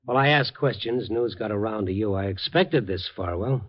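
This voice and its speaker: A man's voice: lowish voice, moderate pace, close to an everyday voice. An American man playing a tough, wry, stubborn newspaper reporter in a 1950s radio crime drama, hard-boiled and sardonic. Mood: wry, defiant. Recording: muffled vintage radio recording, music behind.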